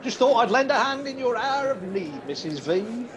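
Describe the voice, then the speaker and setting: Cheeky, lively London greengrocer. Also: male voice, very high voice, normal speed, muffled recording, a crowd in the background. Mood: chummy, teasing. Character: cheeky, chummy young male London greengrocer. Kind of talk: old-time radio play acting.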